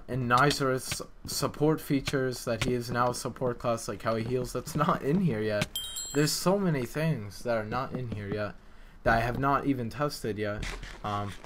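A man's voice: mid-range voice, fairly bright tone. A man giving casual, unscripted commentary as a game developer, explaining things in a hesitant, rambling way. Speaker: video game map developer and video maker. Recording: clear background noise with clicks.

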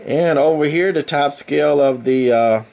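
Halting, matter-of-fact man demonstrating gear. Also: on the high side, vocal fry, a little mellow, low-quality recording. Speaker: hobbyist presenter demonstrating radio gear. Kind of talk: casual unscripted product demonstration. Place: indoors at a home radio bench. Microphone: handheld camera mic, speaker behind camera.

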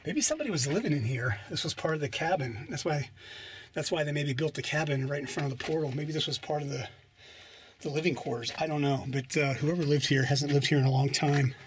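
A man's voice: a little high, brisk, a little hiss or hum. A man casually narrating as he explores an abandoned mine and desert, thinking aloud with hesitant, musing remarks. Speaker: explorer vlogger.

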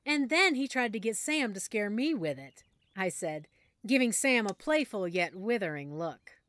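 A woman narrating a first-person audiobook story in a measured, emotional way that sometimes drops to a hush. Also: medium pitch, big pitch swings, no room sound. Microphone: close studio mic.

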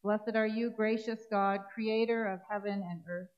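Woman priest, middle-aged, solemn measured prayers, mid pitch, muffled lo-fi livestream. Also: fairly even intonation. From Canada. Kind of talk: liturgical reading. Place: church sanctuary with congregation. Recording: dull lo-fi livestream sound.

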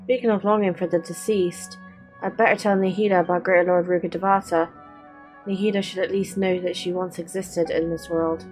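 A woman's voice: lowish voice, flat delivery, brisk, a little mellow. A young woman doing casual let's-play commentary as a game streamer, close to a desk mic. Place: bedroom, indoors. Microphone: desk condenser mic close up. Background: faint game music.

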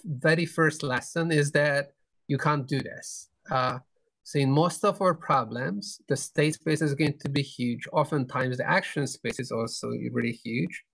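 A middle-aged man giving an explanatory conference lecture over a video call, pausing often mid-sentence. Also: on the high side, fairly bright tone, dry recording. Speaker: keynote speaker, researcher. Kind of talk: academic conference lecture with slides. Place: indoor room, video call.

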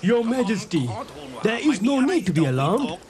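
An actor playing an old royal sage in a cartoon, reverent and reassuring, making declarations. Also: male voice, sits high, very animated, normal speed, crowd noise. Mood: reassuring, excited. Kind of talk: animated film voice acting.

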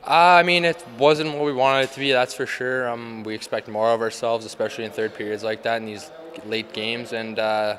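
A man's voice: medium pitch, steady tone, normal speed, a bit bright. A young man, a hockey player giving casual postgame answers into a handheld microphone. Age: young adult. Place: indoors before a sponsor backdrop at an arena. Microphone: handheld microphone held by interviewer. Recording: faint ambient background noise.

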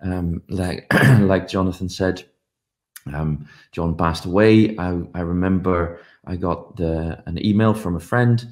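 A man's voice: deep voice, slow, darkish tone. A middle-aged man giving a commemorative conference talk over a video call, telling stories with many ums and uhs. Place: home office, indoors. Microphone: video call webcam.

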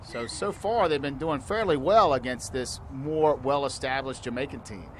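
A man's voice: medium pitch, moderate pace, a bit bright, slight background noise. A man commentating a youth rugby match at an outdoor field, explaining the rules and lifting his voice on big plays. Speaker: play-by-play commentator. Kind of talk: live sports commentary.

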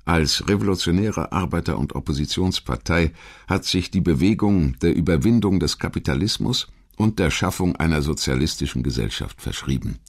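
A man reading scripted biographical narration as a documentary narrator, in a measured, articulate manner. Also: sits low, lively intonation.

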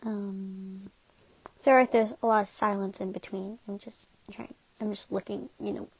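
A woman's voice: medium pitch, level tone, moderate pace, slightly rough, rough recording. A young woman rambling casually as a video creator, apologetic, awkward and giggly. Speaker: video creator dubbing fan comics. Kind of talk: casual, rambling vlog commentary.

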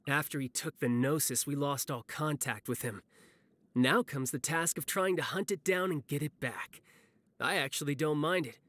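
A man's voice: on the high side, normal speed, a bit bright, dry recording. A man voicing a breezy young warrior agent in a video game, casual and easygoing. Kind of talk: video game character voice line.

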